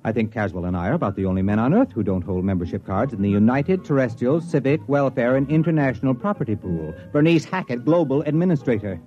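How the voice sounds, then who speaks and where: An actor playing an anxious, excitable sociology professor in a 1950s radio drama. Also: male voice, mid-range voice, fast talker, few pauses, close to an everyday voice. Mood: grimly resigned. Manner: excitable, incredulous questioning. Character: anxious male sociology professor, exasperated and increasingly alarmed narrator. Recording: dull vintage radio recording, music behind.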